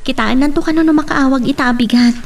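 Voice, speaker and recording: A Filipino woman playing a dutiful, soft-hearted young daughter in a radio drama. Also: high voice, fast talker, vocal fry. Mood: gentle, caring.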